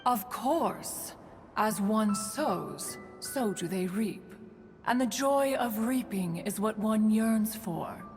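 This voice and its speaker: A woman's voice: mid-range voice, animated, takes it slowly, big-room sound, faint music behind. A woman voicing an aloof immortal sage in a video game, formal, stately and lecturing. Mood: stately, instructive.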